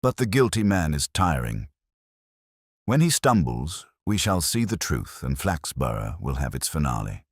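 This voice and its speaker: A man voicing a calm, shrewd police inspector in an audiobook mystery, speaking formally and mildly. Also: a bass voice, husky, no room sound, clean studio sound, heavily acted. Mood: calm, grave. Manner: measured, formal, mild-toned.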